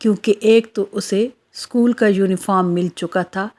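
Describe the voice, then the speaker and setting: A woman's voice: medium pitch, steady tone, moderate pace, dark tone. A woman narrating a scripted children's moral story as the storyteller.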